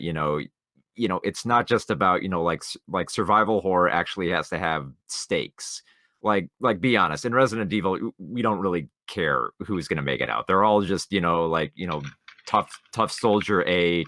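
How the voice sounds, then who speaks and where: A man co-hosting a podcast, discussing films casually in a rambling, halting way with the odd swear word. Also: low voice, pushed and bright, dry recording, clean studio sound. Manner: rambling, halting, informal with profanity. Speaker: podcast co-host.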